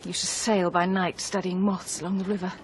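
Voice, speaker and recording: An actress playing an affectionate young bride in a radio drama, playful and intimate. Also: female voice, fairly low pitch, flat delivery, a little fast, a little hiss or hum, natural voice. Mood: wistful.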